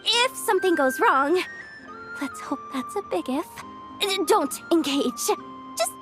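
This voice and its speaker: An actress playing a frazzled, overwhelmed young event coordinator in an anime-style video game, flustered and chatty. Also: female voice, very high voice, animated, slow, exaggerated voice. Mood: nervous warning. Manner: flustered, chatty, self-doubting. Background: background music.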